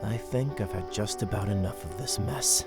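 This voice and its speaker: A low-pitched young man played by a male actor in a fantasy audio drama. He is a reluctant, protective brother who muses and grumbles hesitantly. Music plays behind him. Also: normal speed, lightly acted. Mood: resolved.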